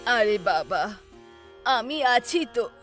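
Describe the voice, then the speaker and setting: An ailing village mother, voiced by a woman narrator, gentle and reassuring. The caring cartoon voice drops below the storyteller's pitch, over music. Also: very animated, takes it slowly, full character voice.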